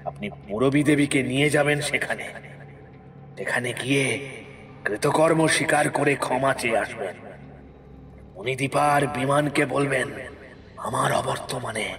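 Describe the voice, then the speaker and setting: A man playing a stern, sorrowful, quietly menacing ghost in an audio horror story, speaking in a measured, deliberate way. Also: medium pitch, close to an everyday voice. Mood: grave warning.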